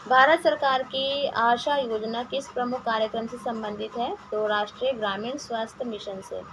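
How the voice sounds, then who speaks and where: A hoarse woman with a sore throat, teaching exam-prep questions and answers with frequent hesitations and restarts, mixing English words into her talk. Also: fairly high pitch, steady tone, normal speed. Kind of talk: reading quiz questions and answers, casual explanation. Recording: lo-fi home recording with noise.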